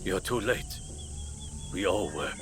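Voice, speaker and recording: An actor playing a fiercely loyal samurai disciple in a video game, full of grief and vengeance, with heavy, bitter phrasing. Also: male voice, deep voice, expressive, measured pace, over music. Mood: grieving, bitter. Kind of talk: dramatic video game acting.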